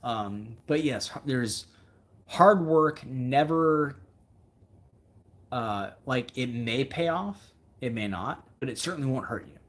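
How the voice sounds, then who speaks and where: A man hosting a casual livestream as a fishing video creator, answering viewer comments in a rambling, think-out-loud way and repeating himself for emphasis. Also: mid-range voice, expressive, takes it slowly.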